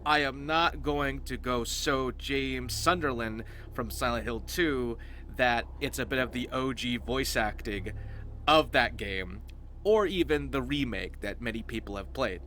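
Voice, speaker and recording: Bright-voiced man explaining over background noise. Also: medium pitch, measured pace. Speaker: host of a game roleplay series. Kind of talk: casual explanatory video commentary.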